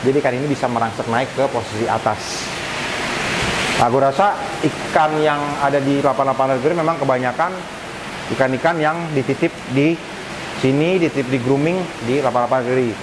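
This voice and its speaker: Male vlogger, medium-pitched, informal explainer talking to viewers as friends, noticeable hiss behind. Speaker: arowana fish keeper and vlogger. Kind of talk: casual explanatory vlog talk. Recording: clear hissy background noise. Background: steady hiss.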